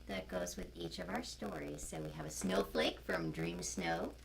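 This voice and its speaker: A woman's voice: low-pitched, sing-song, moderate pace, vocal fry. A woman storyteller and co-host who chats informally and reads a picture book aloud, on a noisy live-stream recording.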